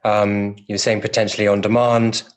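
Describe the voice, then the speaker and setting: Flat-voiced man hosting a podcast. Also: on the low side, a little fast. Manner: supportive, with "um" fillers as he phrases questions. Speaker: podcast host. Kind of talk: interviewing.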